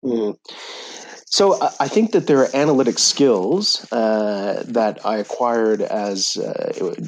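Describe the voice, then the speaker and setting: Low-voiced Canadian man, halting and measured. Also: rough recording. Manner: measured, many "uh" and "um" fillers. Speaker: guest, author and UN official. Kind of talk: podcast interview. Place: office in Jerusalem.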